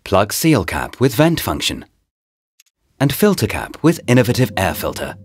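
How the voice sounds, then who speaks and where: A man reading a scripted promotional voice-over in short, deliberate, presentational phrases, as a product narrator. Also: lowish voice, huge pitch swings, breathy edge, dry recording, clean studio sound. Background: background music.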